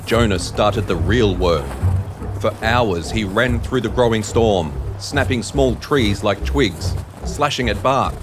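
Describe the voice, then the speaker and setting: Male narrator for an audio drama, low-to-mid everyday register, reading prose with music behind. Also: slow.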